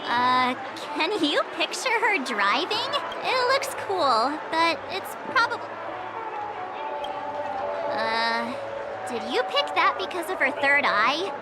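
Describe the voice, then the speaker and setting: A friendly young girl adventurer from a video game. Her voice is very high and swings freely in pitch, casual and curious. Loud music runs behind her. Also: takes it slowly, exaggerated voice. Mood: doubtful, curious. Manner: casual, chatty, animated. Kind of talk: English video game voice acting. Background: loud background music.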